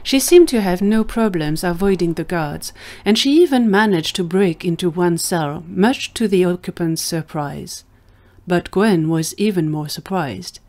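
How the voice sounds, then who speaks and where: A woman's voice: fairly low pitch, measured pace, a little mellow. A woman storyteller reading scripted fiction narration for an audio story.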